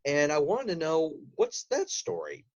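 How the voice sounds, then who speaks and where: A man's voice: on the high side, wildly swooping pitch, unhurried, a little mellow. A man speaking as a podcast guest, telling detailed, enthusiastic stories in casual interview conversation. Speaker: podcast guest, voice actor and audio drama writer.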